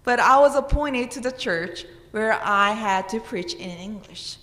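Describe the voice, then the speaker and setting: Woman pastor, Korean accent, slow earnest sermon, bright voice, echoey sanctuary with long reverb. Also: fairly high pitch, expressive. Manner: earnest, with rising emphatic questions. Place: church sanctuary at a pulpit. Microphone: pulpit microphone. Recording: roomy church with long reverb.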